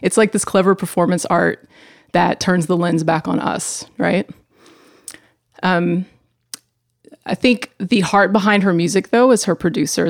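Low, gravelly woman chatting about music. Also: dry recording, clean studio sound. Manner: enthusiastic, relaxed, laughs easily. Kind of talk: casual chat about music albums.

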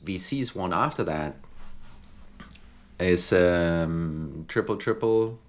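A man's voice: deep voice, slow pace. A man co-hosting a business podcast, explaining growth math step by step with fillers. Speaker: podcast co-host. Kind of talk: casual business chat. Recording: echoey clip, audio quality remarked.

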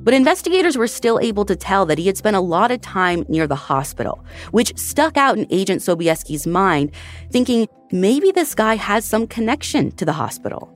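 A woman's voice: mid-range voice, big pitch swings, moderate pace, soft background music. A woman hosting a true crime podcast, narrating the case in a conversational way and speaking directly to her listeners. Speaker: podcast host.

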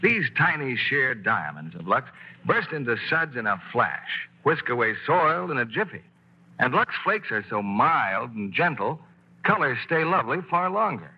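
Male radio announcer, husky and animated, classic commercial read, lo-fi vintage sound. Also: measured pace. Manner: upbeat salesman's pitch. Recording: dull 1940s radio broadcast.

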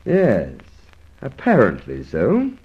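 An actor playing a gruff, exasperated and shrewd police inspector in an old radio drama, brusque, clipped and dry. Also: male voice, lowish voice, huge pitch swings, takes it slowly, darkish tone, soft background music, heavily acted. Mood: dry, suspicious. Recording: muffled old radio recording.

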